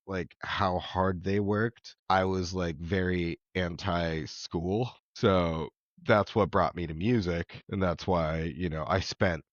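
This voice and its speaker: A man telling his life story as a podcast guest, reflective, with many pauses, ums and soft laughs. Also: low-pitched, no room sound, muffled recording. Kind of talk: candid personal conversation.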